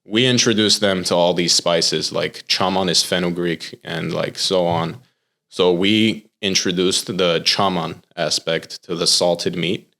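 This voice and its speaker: A young American man, a chef, talking casually in a podcast interview and explaining things in a relaxed way, with lots of filler words. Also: low-pitched, unhurried, creaky. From United States. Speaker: chef and pitmaster, podcast guest.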